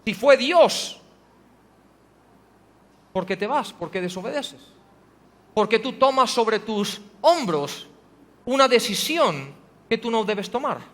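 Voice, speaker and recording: A man's voice: sits high, sing-song, takes it slowly, pauses often, fairly bright tone. A male pastor preaching a sermon in a church, pressing the congregation with rhetorical questions and rising to emphatic passages. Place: church hall. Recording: long hall reverb.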